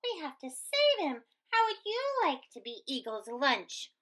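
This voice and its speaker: Woman as a high, excitable little prairie dog girl. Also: unhurried, full character voice. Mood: alarmed, urgent. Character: little eager, brave female prairie dog youngster.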